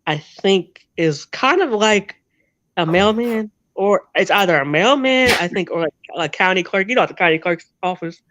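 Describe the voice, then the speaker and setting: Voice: medium pitch, animated, normal speed, a bit bright, no room sound, lo-fi sound. An interview guest from New Jersey with a half-Northern, half-Southern American accent, telling rambling stories in a chatty way with frequent laughs. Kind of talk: casual storytelling chat.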